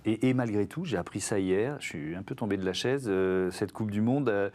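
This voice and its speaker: A man's voice: on the low side, moderate pace, darkish tone. A man hosting a television interview show, talking conversationally with frequent restarts, recorded in a TV studio. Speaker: TV show host. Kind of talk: interviewing guests on air.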